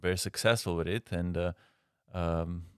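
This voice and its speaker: A man from Slovenia with a Slovenian accent, a guest designer answering interview questions in a halting way with frequent pauses. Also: low-pitched, steady tone, clean tone, dry recording, clean studio sound.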